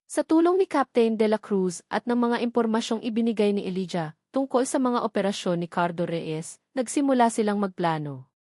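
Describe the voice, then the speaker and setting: A woman's voice: medium pitch, animated, moderate pace, darkish tone, no room sound. Woman narrating a drama, dry close sound. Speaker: story narrator. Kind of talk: scripted dramatic narration of a love story.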